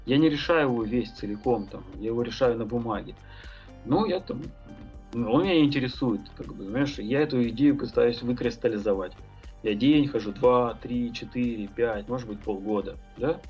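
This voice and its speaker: A man's voice: mid-range voice, moderate pace, lo-fi sound, soft background music. A man talking as a podcast guest, thinking aloud about his tattoo and painting work, rambling with many fillers and hesitations. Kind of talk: casual podcast conversation.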